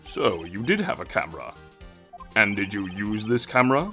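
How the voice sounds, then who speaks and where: Man doing a pompous, animated old judge. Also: on the low side, normal speed, faint music behind. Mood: puzzled, formal. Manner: pompous, formal. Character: befuddled, solemn elderly male courtroom judge. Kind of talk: video game fan dub acting.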